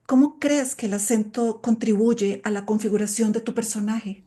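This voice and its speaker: A woman interviewer putting a prepared question to her guest in a measured, deliberate way. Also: fairly high pitch, steady tone, warm tone.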